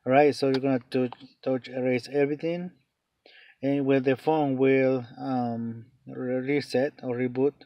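A man explaining a phone reset step by step off camera, halting with many fillers, in non-native English phrasing. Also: mid-range voice, little pitch movement, dry recording, lo-fi sound. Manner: hesitant, many fillers and restarts. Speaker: tech tutorial channel host. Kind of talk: off-camera phone tutorial voice-over.

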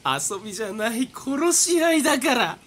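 A man playing a smug young madman in a voice drama, suave, mocking and drawn-out in his delivery. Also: very high-pitched, big pitch swings, a bit bright, full character voice. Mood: manic glee. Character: smug, theatrical young male madman relishing a deadly game. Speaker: voice actor. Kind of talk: anime-style voice drama acting.

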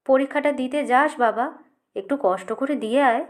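An anguished middle-aged mother from an audio story, acted out by a woman reader. Her voice is raised high and she exclaims with tearful reproach, pressing her grown son as she scolds and pleads. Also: normal speed, natural voice. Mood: tender pleading.

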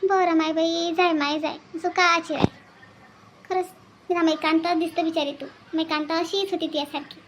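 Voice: very high-pitched, level tone, moderate pace, background noise. A performer voicing a grieving old village mother in a cartoon, plaintive and repetitive, with a rural Vidarbha Marathi accent. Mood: sorrowful longing. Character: grieving old village mother longing for her lost daughter. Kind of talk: melodramatic cartoon dialogue.

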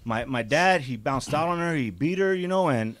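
A man's voice: a little high, lively intonation, moderate pace, dry recording. A man in his thirties, a podcast guest raised in Mexico and California, telling his life story in a rambling way, close to a studio desk mic in a small studio. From raised in Juarez, Mexico and Azusa. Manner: rambling, repeats "you know". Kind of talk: casual storytelling.

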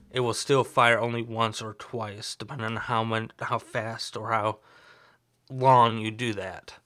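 A young man with a slight sore throat, talking through a screen-recorded coding tutorial in a relaxed, explanatory way. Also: medium pitch, background noise. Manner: relaxed, explanatory, thinks aloud with fillers. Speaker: tutorial host.

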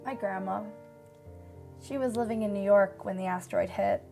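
A woman acts out a female survivor in a radio drama interview. She speaks in a measured, reflective way with a wistful temper, at a middling pitch. Faint music sits behind her. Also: vocal fry. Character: female asteroid-disaster survivor recalling shelter life, wistful.

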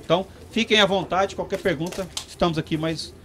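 Man, 30s, fast, slightly high, informal explaining, handheld mic, outdoor tent. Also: pushed and bright, slight background noise. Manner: fast, explanatory, many tag questions. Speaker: company representative. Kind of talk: informal talk to farmers.

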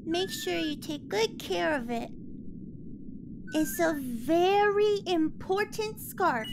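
A woman's voice: extremely high pitch, lively intonation, gravelly, full character voice. A woman voicing a sweet, polite, curious little girl in a game fan dub, childlike in manner. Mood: curious, friendly. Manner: childlike, slow. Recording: noisy ambience.

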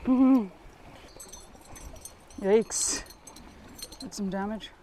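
A woman's voice: medium pitch, very slow, dark tone, a little hiss or hum. A young woman chatting casually as a fishing companion outdoors on a boat. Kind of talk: casual chat. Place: outdoors on a small boat on a lake.

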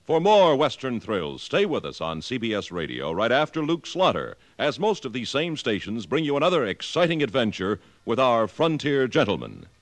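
Male American radio announcer, 1950s style: very wide intonation, medium pitch, polished, dramatic scripted delivery. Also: wildly swooping pitch, moderate pace, few pauses, no echo.

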